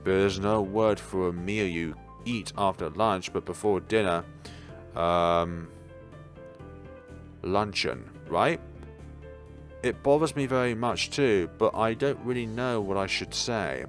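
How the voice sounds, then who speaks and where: A man voicing a blunt, curious teenage schoolgirl who talks in deadpan, rambling musings in a visual novel. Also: low-pitched, slow, pauses often, soft background music.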